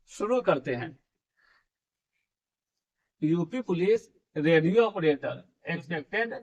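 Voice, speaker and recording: A young man with a fairly high voice explains exam scores to his viewers. He calls them friends and says each key number more than once. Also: rough recording. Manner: repeats key figures, addresses viewers as friends. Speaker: exam coaching YouTuber. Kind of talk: explanatory exam-prep video.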